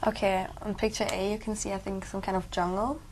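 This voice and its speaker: A young woman from Switzerland, an exam candidate talking things through aloud in a relaxed, chatty way. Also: medium pitch, fairly quick, a little hiss or hum, rough recording. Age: young adult. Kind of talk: speaking exam conversation.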